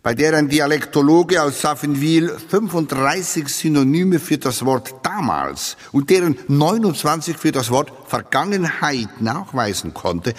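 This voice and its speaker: A pompous Swiss speechmaker in a satirical radio piece, declaiming with grand emphasis. The voice sits fairly high and swoops widely up and down. It rings out in a long, reverberant hall. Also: male voice, heavily acted. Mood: solemn, pompous. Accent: Swiss German. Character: grandiloquent male literary speechmaker mock-praising an author. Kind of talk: theatrical satirical eulogy.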